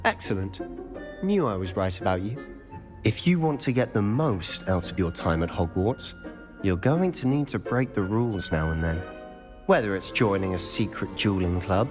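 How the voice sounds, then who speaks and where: Game casting: male actor as roguish student wizard, self-assured, very animated pitch, clear music bed. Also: lightly acted. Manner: confident, teasing, conspiratorial. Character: cocky, charming, rule-breaking teenage male wizard student. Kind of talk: video game cutscene acting. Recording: prominent music behind the voice.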